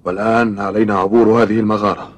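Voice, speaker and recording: A man's voice: lowish voice, flat delivery, rapid-fire, a little hiss or hum, lightly acted. A man voicing a kindly, brave uncle guiding a boy in a dubbed cartoon, with a fatherly, encouraging and dramatic delivery. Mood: cheerful, encouraging. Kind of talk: dubbed cartoon acting in formal Arabic.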